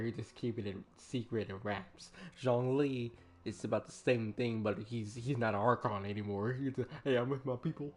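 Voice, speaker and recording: Joking man streaming a game. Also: medium pitch. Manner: jokey, chuckles often, talks back to game characters. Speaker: gaming streamer. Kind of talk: casual commentary while playing. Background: game music.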